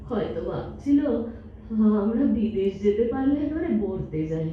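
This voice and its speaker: A woman's voice: medium pitch, big pitch swings, normal speed, mellow, close to an everyday voice. A woman playing a sentimental old wife in an audio drama, speaking in a dreamy, poetic way. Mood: nostalgic, tender. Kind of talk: Bengali stage audio drama. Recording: muffled, echoey hall from far off, noisy.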